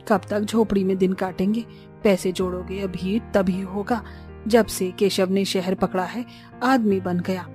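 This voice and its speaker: A woman's voice: mid-range voice, steady tone, a little slow, darkish tone, faint music behind, lightly acted. A woman voicing a worried, caring but scolding village mother-in-law in a cartoon story, plaintive and admonishing. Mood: urging.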